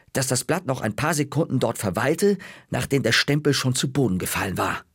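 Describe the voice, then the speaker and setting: A man narrating an audiobook in a measured, even storytelling manner, recorded in a studio. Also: medium pitch, breathy edge, no echo.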